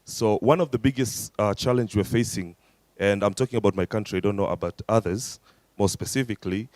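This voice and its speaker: A man with a Tanzanian accent puts his points to a conference as a delegate. His voice sits fairly low and sounds dry, with little room around it. He argues in an earnest, persuasive way. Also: darkish tone, no echo. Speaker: audience delegate. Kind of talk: conference floor remarks.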